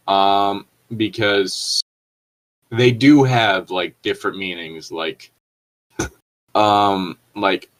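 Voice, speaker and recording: A man's voice: lowish voice, fairly bright tone, low-quality recording. A man co-hosting a comedic reading, chatting casually with rambling explanations and some mild swearing. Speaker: co-host reading and riffing on fan fiction.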